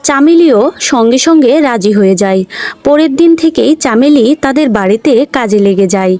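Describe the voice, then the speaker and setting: A woman's voice: high-pitched, darkish tone. A woman narrating a scripted children's cartoon story as the storyteller.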